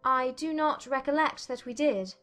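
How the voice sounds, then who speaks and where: A woman's voice: high voice, normal speed, fairly bright tone, faint music behind, voice pushed up. A woman voicing a witty, poised young lady in a dramatized audiobook, arch and composed. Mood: arch, teasing. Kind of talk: dramatized audiobook character acting.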